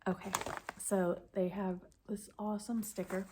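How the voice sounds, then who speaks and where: A woman's voice: mid-range voice, little pitch movement, normal speed, noisy background. A woman vlogging casually about knitting, sniffly from the cold, chatting in a rambling way that corrects itself as she goes. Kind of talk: casual chat to camera.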